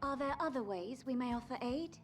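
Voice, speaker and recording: A woman's voice: high voice, lively intonation, brisk, faint music behind. A woman playing a dignified, gracious envoy in a video game, speaking in a formal, stately way, sounding distant. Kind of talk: video game cutscene acting.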